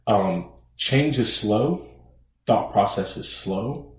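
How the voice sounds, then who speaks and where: A man's voice: on the low side, expressive, darkish tone. Thoughtful man podcaster, echoey. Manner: thoughtful, halting restarts, slow deliberate phrasing. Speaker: podcast co-host. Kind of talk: casual conversation.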